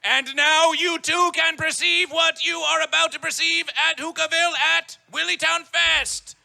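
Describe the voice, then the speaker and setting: A man's voice: very high-pitched, level tone, moderate pace, creaky, bright, forceful tone. A man working as a festival master of ceremonies, hyping the event in grandiose, repetitive, theatrical proclamations. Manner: grandiose, chanting, repetitive proclamations in carnival-barker fashion. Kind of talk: theatrical hype emcee announcing to a festival.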